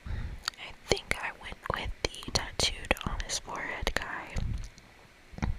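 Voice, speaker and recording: A woman reading a video game aloud in a breathy ASMR whisper, playful and expressive, with casual asides. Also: slow. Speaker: ASMR gamer reading a game's dialogue aloud. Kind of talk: whispered ASMR let's play.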